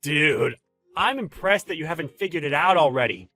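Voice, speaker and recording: A man's voice: fairly high pitch, animated, normal speed, bright, forceful tone, soft background music. A man playing a smug, bullying boss in a dramatized story, with a taunting, slangy, exclamatory delivery. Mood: scolding, contemptuous. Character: smug bullying male boss, gloating and contemptuous. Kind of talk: dramatized story narration with character voices.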